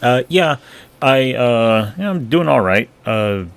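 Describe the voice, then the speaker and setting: A man's voice: mid-range voice, lively intonation, slow, vocal fry. A man co-hosting a podcast, joking and rambling in casual chat. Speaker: podcast co-host.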